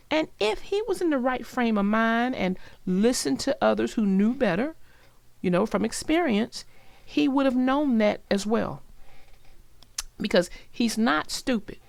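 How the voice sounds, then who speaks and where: Slow, gravelly-voiced woman storyteller. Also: fairly high pitch, no echo. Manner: gentle, reflective, explains morals. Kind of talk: children's read-aloud and lesson talk.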